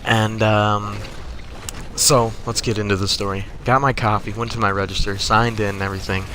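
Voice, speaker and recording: Low-voiced young American guy, noisy recording. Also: level tone, normal speed, bright, forceful tone. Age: young adult. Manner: profane, exasperated, conversational. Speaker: gaming video creator. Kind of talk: casual comic storytelling over gameplay. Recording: noticeable background noise.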